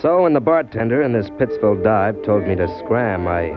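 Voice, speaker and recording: Down-on-his-luck cynical drifter, played by a man, 1940s radio crime story, streetwise slang, lively swings, music behind. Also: on the low side, normal speed, natural voice. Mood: wry, bitter. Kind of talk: 1940s radio crime drama acting, first-person narration and dialogue. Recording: muffled old radio recording with music.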